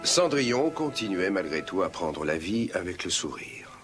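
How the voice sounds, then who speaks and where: A man's voice: low voice, big pitch swings, moderate pace, a bit bright. A man playing the storyteller of a children's cartoon fairy tale, reading scripted narration. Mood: calm telling. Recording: music under the voice.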